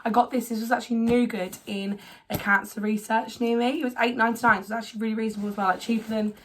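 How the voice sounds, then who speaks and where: A young woman with a hoarse voice from a cold, chatting casually at home as she walks through her packing. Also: a little high, level tone, fast talker, a little hiss or hum, rough recording. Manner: chatty, rambling, filler-heavy. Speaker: vlogger. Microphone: camera on tripod.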